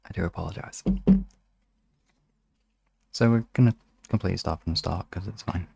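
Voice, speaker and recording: A man hosting a live ASMR hypnosis session, guiding relaxation in a soft, soothing, gently instructing manner. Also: low voice, normal speed, gravelly, a little hiss or hum.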